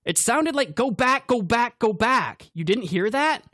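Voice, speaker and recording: A narrator voicing a jumpy, hot-tempered young man who snaps and yells. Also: medium pitch, lively intonation, brisk, no room sound, clean studio sound, pitched above the performer's own voice, natural voice. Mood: frightened, angry. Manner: snappish, yelling.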